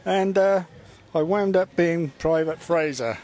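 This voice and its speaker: A man in his seventies from England talks high and cheerful, cracking small jokes about himself. He is a volunteer re-enactor. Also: unhurried, a little mellow. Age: 70s. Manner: jokey, cheerful.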